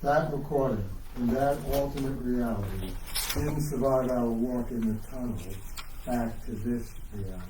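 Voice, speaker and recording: A man's voice: mid-range voice, normal speed, lightly acted. A man playing an anxious but consoling hero in a live dramatic reading of a novel. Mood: baffled, dismayed. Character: Pete, anxious, consoling man trying to save history. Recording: roomy, distant mic, faint noise.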